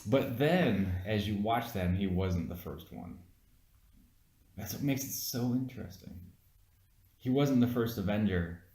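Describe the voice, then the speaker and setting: Blunt, deep-voiced man in an echoey room. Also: expressive, unhurried, long pauses, dark tone. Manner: blunt, argumentative, swears freely. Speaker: podcast co-host. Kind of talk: casual movie review chat.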